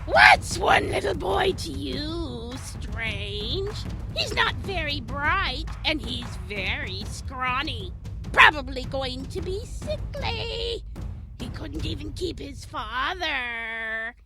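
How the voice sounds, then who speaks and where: A woman playing a malevolent, taunting hag demon in an audio drama, with menacing, mocking, drawn-out theatrical delivery. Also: sits high, sing-song, music in the background, exaggerated voice. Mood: cruel taunting.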